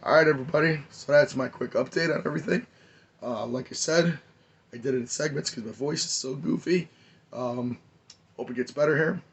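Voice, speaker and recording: A man, hoarse and losing his voice, giving a rambling, enthusiastic hobby update on his model car builds. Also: mid-range voice, brisk. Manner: rambling, enthusiastic, many fillers.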